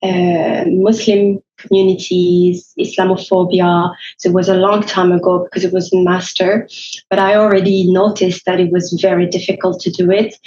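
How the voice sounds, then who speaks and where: A woman's voice: mid-range voice, darkish tone, roomy sound, dull audio, a little hiss or hum. A woman lecturer from France, giving interview answers with many fillers and restarts. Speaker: university lecturer, interview guest.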